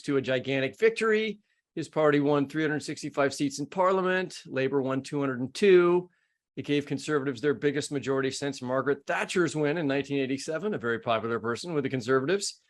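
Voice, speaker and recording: Male American podcast co-host, slightly high voice, informal and joking, conversational delivery. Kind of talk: conversational hosting, part scripted introductions.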